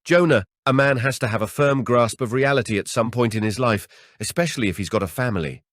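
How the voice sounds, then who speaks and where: A man plays an older father-in-law in a horror audiobook, cautioning and exasperated. The voice is pushed up in pitch and recorded dry and close. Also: a little fast, a bit bright, dry recording, lightly acted. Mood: stern warning. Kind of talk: audiobook character dialogue.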